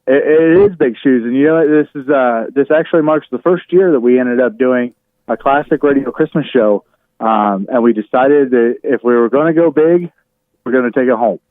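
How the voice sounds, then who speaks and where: Male radio guest, mid-pitched, phone-line sound, casual conversational delivery with halting phrasing. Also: dry recording. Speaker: radio host, interview guest. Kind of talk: casual chat on a phone interview. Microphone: telephone. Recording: tinny narrow phone line.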